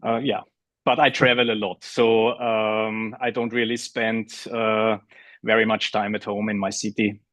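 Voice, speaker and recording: A man's voice: fairly low pitch, level tone, measured pace, a bit bright, no echo. A man from Austria, a guest chatting fluently and easily on a podcast. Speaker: guest, sports DJ. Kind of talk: casual interview chat.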